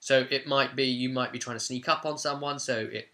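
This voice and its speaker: Man, mid-pitched, very bright and forceful tone, conversational, enthusiastic, informal tabletop guide presenter. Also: fairly even intonation, fairly quick. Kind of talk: informal explainer of game rules.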